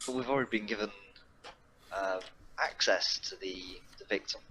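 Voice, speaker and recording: A man's voice: lowish voice, moderate pace, pushed and bright, slight background noise, poor audio. A man playing a tabletop roleplaying game, chatting casually in character in a hesitant, deliberating way.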